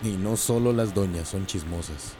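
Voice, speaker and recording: Casting: man as firm, sardonic small-town neighbour, warm dark voice, radio serial. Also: low-pitched, moderate pace, slight background noise, natural voice. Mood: sceptical. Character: wry, firm, plain-spoken village man. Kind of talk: radio soap opera acting.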